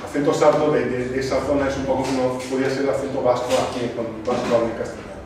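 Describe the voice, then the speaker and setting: A man from Sardinia, presenting a film to a cinema club audience, thoughtful and full of pauses and restarts. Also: medium pitch, level tone. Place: cinema club screening room. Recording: echoey room, distant mic, noisy.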